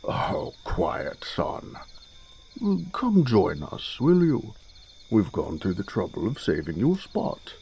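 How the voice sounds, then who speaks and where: A man playing an older fatherly lord in a fantasy audio drama, genial and courtly in a measured way. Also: low-pitched, wildly swooping pitch, a little mellow, breathy edge, exaggerated voice. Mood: hearty, welcoming.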